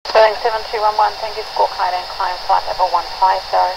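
A woman air traffic controller speaks over a noisy radio channel. She gives clipped instructions quickly, in a lowish voice with a gravelly fry. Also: little pitch movement. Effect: radio transmission.

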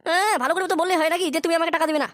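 A man's voice: very high-pitched, steady tone, rapid-fire, fairly bright tone, dry recording. A man voicing an idle, wheedling, foul-mouthed grown son in a crude comedy cartoon. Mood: resentful. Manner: whining, foul-mouthed, grumbling.

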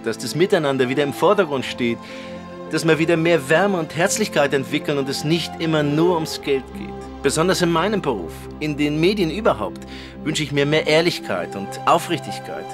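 A man acts a vain and cynical male television entertainer in a radio comedy sketch. He is a showy, dismissive professional with a coarse streak, and music is clearly heard behind him. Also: a little high, expressive, moderate pace, close to an everyday voice. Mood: sanctimonious. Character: cynical, vain, foul-mouthed TV show entertainer.

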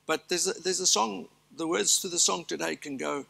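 Older south african pastor preaching on a church stage, bright voice, a bit high, stresses his lists. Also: normal speed, creaky, husky. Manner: earnest preaching with emphatic lists and asides. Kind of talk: sermon.

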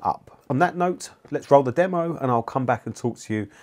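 Guy in his 40s hosting a review video, medium pitch, lapel mic in a small studio. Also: expressive, a little fast, darkish tone. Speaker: host, hi-fi reviewer. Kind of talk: scripted-sounding video presenting to camera. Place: small indoor studio room with acoustic panels.

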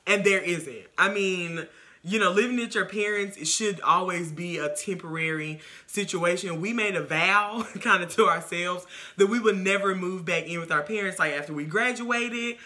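A woman's voice: fairly low pitch, moderate pace, pushed and bright. An American woman in her twenties chatting casually as a vodcast co-host, making her points emphatically. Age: 20s. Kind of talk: casual chat. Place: living room couch.

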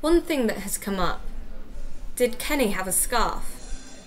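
A woman's voice: mid-range voice, expressive, takes it slowly, a little hiss or hum. Polite, inquisitive woman investigator played by an actress. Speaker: podcast interviewer. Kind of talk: audio drama acting.

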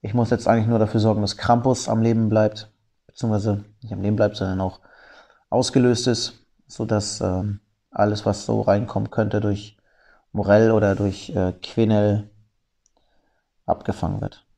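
A man with a slightly low, gravelly voice and a cold talks through a mobile puzzle battle. His tone is dark and mellow, and he explains each move analytically, pausing with fillers as he thinks it over. It sounds like a home desktop microphone. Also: flat delivery. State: has a cold. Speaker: gameplay commentator. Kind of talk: gameplay commentary.

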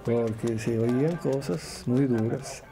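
A man's voice: mid-range voice, slow, dark tone, faint music behind. An older man with a Mexican accent, a radio announcer, telling anecdotes theatrically and acting out remembered dialogue. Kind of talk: anecdotal interview reminiscence.

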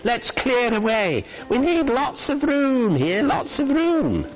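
A man voicing a merry old gentleman in a storybook, jovial and hearty, calling out in exclamations. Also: extremely high pitch, big pitch swings, slow, soft background music, voice pushed up, full character voice, rough recording.

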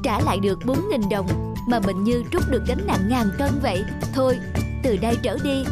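Woman acting expressive high-voiced young female student. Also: normal speed, over loud music, close to an everyday voice. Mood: relieved, resolved. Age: young adult. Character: young woman student, anxious, conscientious, light-hearted. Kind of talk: animated moral story dialogue.

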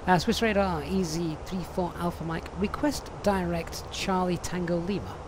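A man's voice: sits high, steady tone, normal speed, noisy background. A man streaming a flight simulator, chatting and reading out viewer questions with many ums and uhs. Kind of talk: live stream commentary.

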